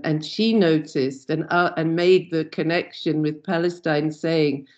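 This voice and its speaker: A panelist with a South African accent giving a measured, earnest answer on a video call. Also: medium pitch, darkish tone. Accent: South African English. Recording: dull lo-fi video call audio.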